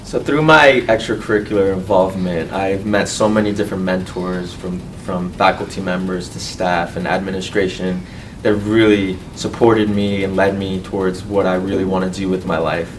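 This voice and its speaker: Male student, twenties, earnest and halting testimonial, fairly low voice, off-mic sound with faint noise. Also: steady tone, recorded from a distance, poor audio. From Colombia, raised in California. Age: 20s. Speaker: medical student and university board representative. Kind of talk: personal testimonial to camera.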